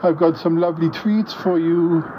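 A man's voice: on the high side, fairly even intonation, moderate pace, noisy background, close to an everyday voice. A man playing an anxious, mild-mannered fellow in a comic audio drama, stammering and dithering.

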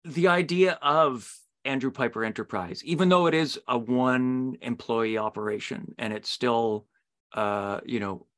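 A man's voice: mid-range voice, measured pace, fairly bright tone. A middle-aged man hosting a podcast interview in a conversational style.

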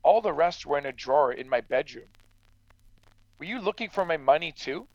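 An actor playing a stern, practical farmer in a radio drama, blunt and admonishing but fair-minded. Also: male voice, on the high side, lively intonation, normal speed, dry recording, muffled recording, lightly acted. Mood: suspicious, accusing. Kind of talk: true crime radio drama acting.

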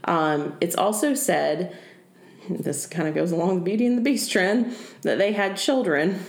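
A young woman with a low voice casually tells folklore on a podcast, joking as she goes. She sounds echoey, recorded in a room at home. She keeps the tone loose and conversational. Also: a little slow. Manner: laughing, joking storyteller. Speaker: podcast co-host.

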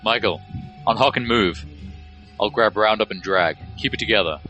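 An actor playing a calm, ruthless squad leader with a clipped, commanding manner in an action audio drama. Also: male voice, lowish voice, moderate pace, faint music behind. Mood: steely command.

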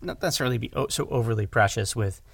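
A man talking casually as an audio drama director, animated and rambling, repeating words for emphasis and joking along. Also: mid-range voice, quick pace, gravelly, husky, dry recording, clean studio sound. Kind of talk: casual conversational discussion.

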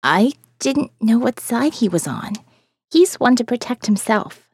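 Female survivor and diarist played by a woman: slightly high, animated, smart, wary, wry, first-person audio drama. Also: a little slow, husky, no echo, studio quality, heavily acted. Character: smart, wary, stubborn female survivor narrating her diary. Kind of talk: first-person audio fiction narration and dialogue.

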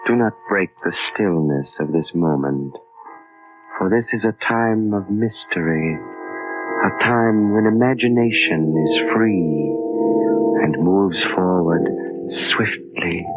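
An actor playing a mysterious, solemn horror-show host, a man with a portentous, deliberate delivery in old radio style. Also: sits low, over loud music. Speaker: series announcer. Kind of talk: 1940s radio scripted introduction. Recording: muffled 1940s radio recording.

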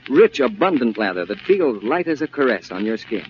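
A man's voice: medium pitch, big pitch swings, moderate pace, darkish tone. A man announcing and reading advertising in a polished, persuasive 1940s radio style. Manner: polished, persuasive sales delivery. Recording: muffled, hissy vintage radio recording.

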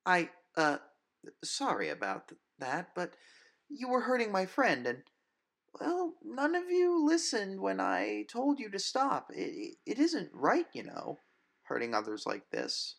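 A sweet, loyal young woman from an audio story, played by a male reader. The pitch is lifted well above his own, and the delivery is polite, hesitant and stammering, nervous yet brave. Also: wildly swooping pitch, unhurried, creaky, a bit bright, exaggerated voice. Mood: nervous, apologetic. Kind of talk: audio story character dialogue.

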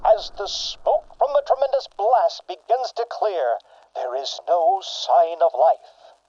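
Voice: mid-range voice, very animated, slow, dark tone. An actor playing a solemn, melodramatic comic-book serial narrator, announcing with suspense in a radio play. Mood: suspenseful. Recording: dull lo-fi radio-style sound.

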